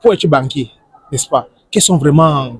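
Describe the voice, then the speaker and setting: Husky-voiced man interviewing casually. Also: on the high side, a little slow, no echo. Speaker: interviewer and video host.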